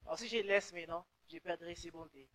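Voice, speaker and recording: Young guy, bit high voice, earnest, repeats himself a lot. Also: fairly even intonation, fast talker, sharp tone. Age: young adult. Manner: earnest, repeats words while searching. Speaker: guest.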